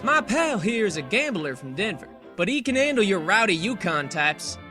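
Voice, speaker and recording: A man's voice: high voice, sing-song, moderate pace, music in the background. A man acting a brash, confident Wild West lawman turned saloon businessman in a fan comic dub. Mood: businesslike.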